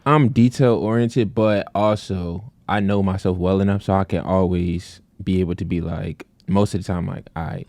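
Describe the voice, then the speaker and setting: A man in casual podcast chat, relaxed, saying "like" often. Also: low-pitched, moderate pace, few pauses, no echo. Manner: relaxed, says "like" often, many "mm-hmm"s. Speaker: podcast host.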